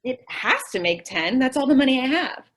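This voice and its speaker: A woman's voice: sits high, sing-song, a little fast, a bit bright, heavily acted. A woman playing a naive, scatterbrained and stubbornly optimistic young woman in an old-time radio comedy. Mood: earnest, puzzled.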